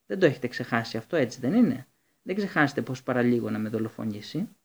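A man's voice: medium pitch, animated, creaky. A man voicing a cold, resentful male professor with a snide, sneering manner.

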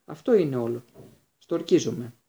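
Casting: male narrator as composed wizard teacher, resolute, matter-of-fact, slightly husky, storybook reading. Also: mid-range voice, expressive, mellow, lo-fi sound. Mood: reassuring.

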